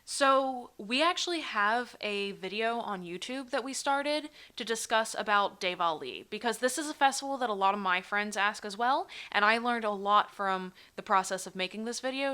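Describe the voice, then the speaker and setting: An American woman presents herself and her memories as a guest. Her voice is middle in pitch, bright and forceful, with a smooth, clear finish. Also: animated, normal speed, hardly any pauses. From Pennsylvania, United States. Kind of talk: personal storytelling and presentation.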